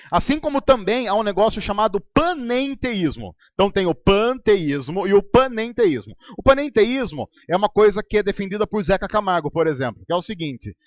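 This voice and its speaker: A man's voice: sits high, no echo, dull audio. A man preaching a sermon as pastor in a Brazilian Portuguese accent, talking conversationally with sarcastic asides and ironic voices. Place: church hall.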